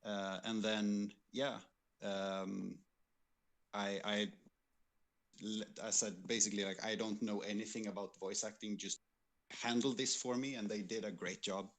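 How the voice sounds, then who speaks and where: A man's voice: on the low side, little pitch movement, unhurried, a bit bright. A man co-hosting a livestream, talking informally and hesitantly as he recounts past work, repeating words while he searches. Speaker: livestream co-host and game developer.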